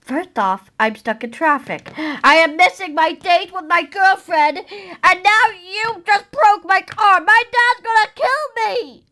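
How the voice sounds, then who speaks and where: A woman's voice: extremely high pitch, takes it slowly, pushed and bright, exaggerated voice. A woman voicing an awkward, easily offended young boyfriend in a comedy skit, hesitant and whiny. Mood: indignant.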